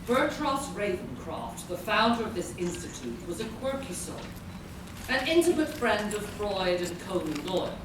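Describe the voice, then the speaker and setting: A woman's voice: medium pitch, very animated, normal speed, natural voice. A woman playing an imperious, secretive schemer with a haughty, commanding manner in a live radio play. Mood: smooth, evasive. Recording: echoey hall from far off, noisy.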